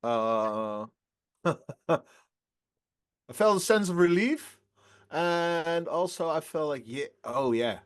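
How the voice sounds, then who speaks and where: A singer in his twenties answering interview questions in a relaxed, halting way, often laughing between phrases. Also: fairly high pitch, clear, resonant tone. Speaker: rock band singer, guest.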